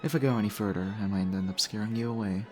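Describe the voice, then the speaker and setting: A man's voice: lowish voice, fast talker, natural voice. A man playing a charming, teasing vampire host in an intimate, conversational roleplay style. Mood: suave, curious. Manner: flirtatious, suave, conversational. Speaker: audio roleplay voice actor. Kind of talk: intimate ASMR roleplay acting. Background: background music.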